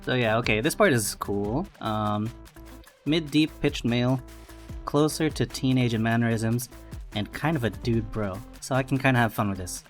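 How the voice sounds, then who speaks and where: A man's voice: medium pitch, normal speed, faint music behind. A man who does amateur voice acting, chatting casually and thinking aloud as a laid-back, informal vlog host.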